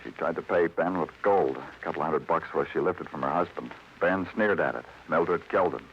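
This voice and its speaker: An actor playing a world-weary, hard-boiled New York police detective in a 1950s radio crime drama, speaking in a clipped, terse, commanding way. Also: male voice, rumbling low, normal speed, a little hiss or hum. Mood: dry, skeptical. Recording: muffled vintage radio recording, loud music.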